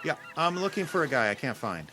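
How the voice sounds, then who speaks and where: A man's voice: on the high side, very animated, fast talker. An actor playing a casual, persistent detective's legman in an old time radio play, plain-spoken and questioning. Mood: casual, dogged. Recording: clear background noise.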